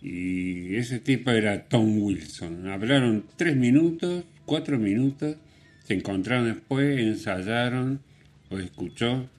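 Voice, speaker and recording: A man hosting radio, telling music stories casually in a halting, rambling, conversational way. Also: lowish voice. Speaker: radio host.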